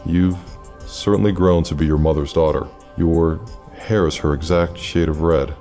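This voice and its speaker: An actor playing a secretive, centuries-old immortal leader in an audio drama, speaking with measured, formal authority. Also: male voice, low voice, fairly even intonation, mellow, soft background music. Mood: grave, businesslike.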